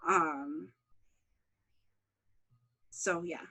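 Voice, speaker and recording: A woman's voice: low voice, fairly even intonation, extremely slow, pushed and bright, breathy edge, rough recording. A woman series creator answering interview questions in a casual way.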